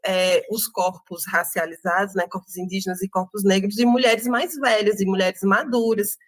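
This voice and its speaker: A woman with a Northeastern Brazilian accent, an interview guest speaking thoughtfully with many pauses and tag questions. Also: medium pitch, no echo. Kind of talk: reflective interview talk.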